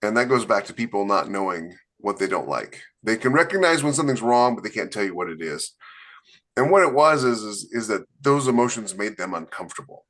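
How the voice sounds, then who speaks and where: A man with a fairly low voice co-hosts a podcast in loose, casual chat. He rambles and leans hard on his points, scattering "you know" fillers as he goes. He sounds like he is talking into a podcast mic. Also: animated, brisk, a bit bright. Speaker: podcast co-host and author.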